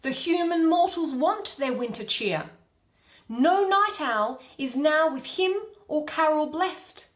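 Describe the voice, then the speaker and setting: A woman voicing a proud, indignant fairy queen in measured, declamatory Shakespearean verse. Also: high-pitched, lively intonation, echoey room, voice pushed up. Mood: aggrieved.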